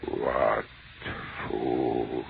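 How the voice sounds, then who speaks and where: An actor playing an ancient, hungry alien monster in 1930s radio horror style, speaking in slow, contemptuous, menacing pronouncements on an old noisy recording. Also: male voice, very deep voice, level tone, gravelly, narrow, tinny audio, heavily acted.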